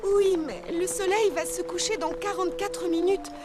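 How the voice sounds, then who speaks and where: A woman's voice: very high-pitched, steady tone, normal speed. A woman voicing an earnest, fussy, dramatic young girl in a children's cartoon, theatrical and emphatic. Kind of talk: dubbed children's cartoon acting. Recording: loud music behind the voice. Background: loud music.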